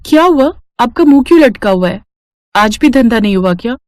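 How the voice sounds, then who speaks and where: Woman acting a worried poor wife, very expressive. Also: a little high, a little fast, a little hiss or hum, natural voice. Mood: concerned. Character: poor, worried young wife and anxious mother. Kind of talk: Hindi moral-story cartoon voice acting.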